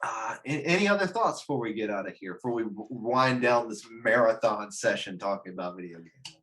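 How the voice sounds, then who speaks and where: A man's voice: lowish voice, lively intonation, a little fast, fairly bright tone, poor audio. A man hosting a casual discussion show in a wry, joking manner, often chuckling as he talks. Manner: wry, joking, chuckles through his talk. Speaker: host. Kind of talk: casual chat.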